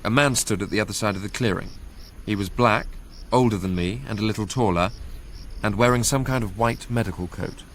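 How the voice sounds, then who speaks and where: An actor playing a young Englishman who recounts his past in a measured, literary way for a radio drama. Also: on the low side, slight background noise, close to an everyday voice. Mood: measured recollection. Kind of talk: radio drama first-person narration.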